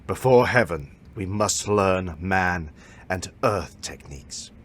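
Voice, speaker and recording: A man voicing an aging martial arts master in an amateur audio drama, calm, formal and solemn, instructing in a measured way. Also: low-pitched, a little hiss or hum, heavily acted. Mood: solemn, instructive.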